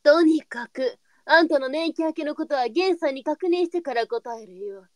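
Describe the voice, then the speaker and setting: Lady doing a bossy old-japan brothel madam, pretty high voice, shrewd and brisk, sharp tongue. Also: dry recording, close to an everyday voice. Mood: brusque, exasperated. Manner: blunt, switches to formal politeness with guests. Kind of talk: Japanese period audio drama acting.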